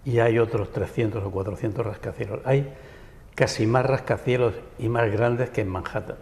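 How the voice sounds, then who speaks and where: A man with a Peninsular Spanish accent speaks as a TV interview guest in a low, gravelly voice. His answers wander at length, full of restarts and asides. A long reverb hangs around him in the studio. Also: fairly even intonation, normal speed, darkish tone. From Barcelona, Spain. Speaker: guest, writer and architect. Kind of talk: TV interview conversation. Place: local TV studio.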